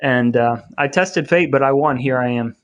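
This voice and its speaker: A man's voice: mid-range voice, normal speed. Male co-host, thirties to forties, mid-range voice, wry and rambling, casual conversational storytelling. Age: 30s or 40s. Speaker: podcast co-host. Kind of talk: casual bantering chat.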